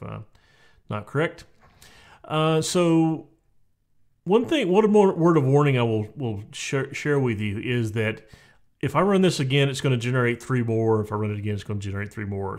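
Gravelly-voiced man explaining software. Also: lowish voice. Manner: relaxed, conversational, with frequent fillers and restarts. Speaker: software tutorial presenter. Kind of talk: casual screen-recorded software walkthrough. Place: home office, indoors.

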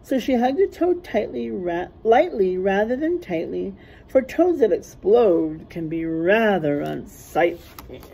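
A middle-aged woman reading a rhyming picture book aloud like a teacher to young children, sing-song and deliberate, stressing rhymes and letters. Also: mid-range voice, sing-song, creaky, mellow, husky, rough recording. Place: small classroom, indoors.

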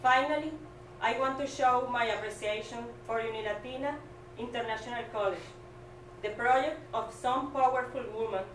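A woman in her thirties with a Venezuelan Spanish accent, a native Spanish speaker reading her graduation speech slowly and carefully at a stand mic. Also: a little high, far from the mic, a little hiss or hum. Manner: slow, careful, earnest reading. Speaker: graduating student. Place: small hall with curtain backdrop. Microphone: stand mic, filmed from a distance. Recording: echoey room, voice off-mic.

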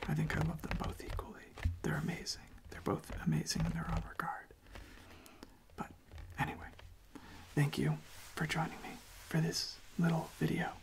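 A young man speaking softly in a gentle, unhurried, hesitant way as an ASMR host. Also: on the high side, little pitch movement, gravelly. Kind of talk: soft-spoken whispered ASMR.

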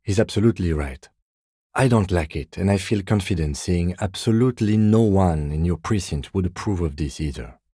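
A restrained, courteous police lieutenant for a video game. His voice is deep, dark and husky, delivered in a measured deadpan with a dry, sardonic edge. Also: no echo. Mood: calm, formal. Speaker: game character, police detective. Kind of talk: video game dialogue voice lines.

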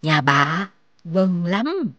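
A woman's voice: on the low side, very animated, drawn-out words, a bit bright, dry recording, lo-fi sound, heavily acted. A woman voicing a sweet-talking elderly grandmother in a children's cartoon, coaxing, with a southern Vietnamese accent. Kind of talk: animated children's cartoon dubbing.

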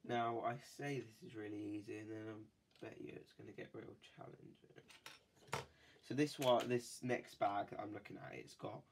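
A man's voice: on the low side, steady tone, normal speed, gravelly, slight background noise. A man with a cold, chatting casually and rambling with many fillers while building a toy set at a desk. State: has a cold. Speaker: toy-building video host. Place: indoors at a desk.

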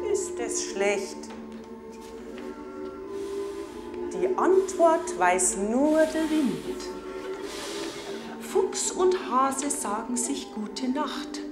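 Prim, solemn Bavarian village emcee, high voice, halting delivery, comedy sketch in an echoey hall. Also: female voice, heavily acted. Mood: puzzled, solemn. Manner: stilted, formal, halting, solemn. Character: prim, earnest woman hosting a village culture evening. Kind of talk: TV comedy sketch, stage announcements. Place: village community hall, indoors. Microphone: handheld microphone. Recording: echoey hall with music behind.

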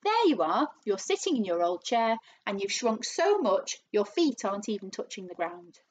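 A woman voicing a gleefully cruel, taunting husband in a storybook, with emphatic, drawn-out, mock-solemn menace. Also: on the high side, animated, dull audio, a little hiss or hum, lightly acted. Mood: mocking, alarming.

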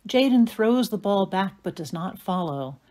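A woman's voice: medium pitch, lively intonation, moderate pace, warm tone. A woman narrating the stage directions of an audio play as atmospheric, scripted storytelling.

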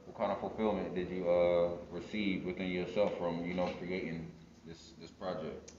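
A man's voice: deep voice, little pitch movement, moderate pace, darkish tone, slight background noise. An American man interviewing someone in a casual, informal way, peppering his questions with little filler phrases, in an indoor space. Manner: informal, frequent "you know". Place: indoor art gallery. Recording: echoey room, dull muffled sound.

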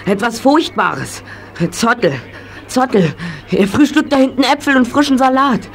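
A young actor playing an excitable, helpful boy in a German children's audio drama. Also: extremely high pitch, normal speed. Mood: agitated.

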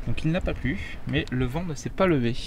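Young male sailor, casual and technical, a touch higher-pitched, on deck outdoors. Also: a little fast, noisy background. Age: young adult. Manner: technical, rattles off knots and depths. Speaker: sailor, co-host. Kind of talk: casual chat. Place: outdoors on a sailboat at sea.

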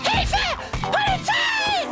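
A man's voice: extremely high pitch, drawn-out words, loud music behind the voice, full character voice. A man voicing a whiny, theatrical superhero fanatic in a video game cutscene. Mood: shrieking, frantic.

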